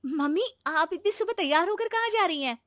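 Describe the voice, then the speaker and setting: A woman voicing a gentle but determined young daughter-in-law in a moral story, earnest and coaxing. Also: very high-pitched, expressive, brisk, no room sound, studio quality, pitched above the performer's own voice. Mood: respectful. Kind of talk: animated Hindi moral-story voice acting.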